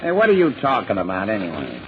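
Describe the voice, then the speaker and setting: Folksy American townsman, animated old radio. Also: fairly low pitch, fast talker. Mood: surprised, puzzled. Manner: folksy, blunt. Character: blunt, folksy, puzzled small-town Western townsman. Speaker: actor. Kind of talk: old-time radio drama acting. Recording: muffled, noisy old radio recording.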